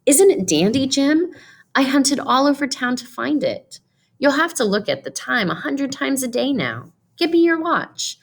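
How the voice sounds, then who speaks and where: A woman acts out a young wife from a storybook, girlish and affectionate. Her pitch lifts well up as she pleads and exclaims eagerly, with some creak in the voice. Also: sing-song, a little slow, exaggerated voice. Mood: excited, eager. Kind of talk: storybook read-aloud character voice.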